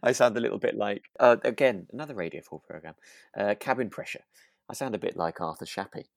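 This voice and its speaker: A man's voice: lowish voice, animated, fast, vocal fry, dry recording, clean studio sound. A man co-hosting a podcast in casual chat, effusive and often repeating himself as he searches for phrasing. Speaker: podcast co-host. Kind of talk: casual chat about a radio drama.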